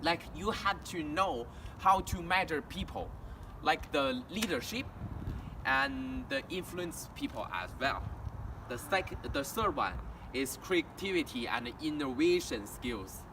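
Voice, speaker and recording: A young man from China with a Chinese accent, whose first language is Mandarin, gives a halting walk-and-talk presentation as an international student, with frequent restarts. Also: on the high side, big pitch swings. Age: young adult. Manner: halting, with frequent restarts and simple learner grammar. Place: outdoors in a town, walking near a house and street. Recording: distant outdoor recording with traffic noise.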